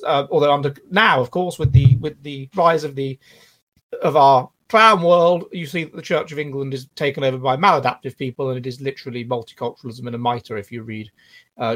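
Halting male guest, repeating himself. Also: medium pitch, fairly bright tone. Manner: halting, repeats words while thinking. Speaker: guest answering viewer questions. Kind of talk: casual livestream chat.

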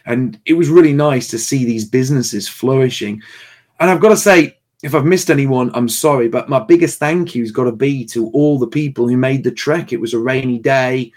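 A man hosts a casual livestream in a tired voice that is a little hoarse. His delivery is halting and rambling, with a mid-range pitch. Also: darkish tone. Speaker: host of a livestream. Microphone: livestream call microphone.

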